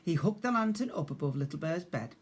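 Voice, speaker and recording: Man, storybook narration, teacher reading aloud, measured, expressive, lively intonation. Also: on the high side, breathy edge.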